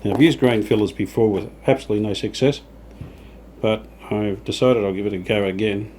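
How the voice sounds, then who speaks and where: A man with a fairly low, soft-edged, mellow voice narrates his workshop job casually as he works. He thinks aloud in an understated, slightly tentative way. There is noticeable background noise, with rubbing and sanding sounds. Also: fairly even intonation, a little fast. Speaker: host of a hobby radio restoration channel. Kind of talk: casual hands-on workshop narration while working. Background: rubbing and sanding while working.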